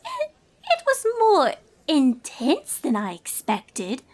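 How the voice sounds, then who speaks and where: A woman plays a nervous young lady pony in a storybook tale. Her voice is high and swoops widely, with fretful, theatrical stammering and a refined air. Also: wildly swooping pitch, takes it slowly, a little mellow, full character voice. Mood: shaken.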